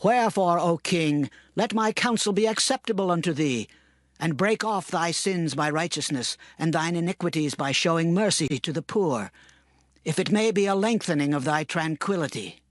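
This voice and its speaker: A man playing an earnest prophet, lightly pitched. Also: animated, dry recording, clean studio sound, natural voice. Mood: earnest warning. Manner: solemn, measured, deliberate. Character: earnest, respectful prophet counselling his king. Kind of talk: dramatized scripture reading. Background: music.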